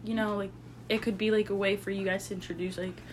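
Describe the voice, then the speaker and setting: A young woman co-hosting a casual chat, talking with lots of "like" and clearing her throat often. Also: medium pitch, level tone, quick pace, slight background noise. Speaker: podcast co-host.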